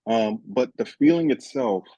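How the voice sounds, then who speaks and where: A man's voice: medium pitch, lively intonation, moderate pace, mellow, no room sound, muffled recording. A man from Haiti whose first language is Haitian Creole, an author talking reflectively in an interview and repeating words as he thinks. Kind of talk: podcast interview.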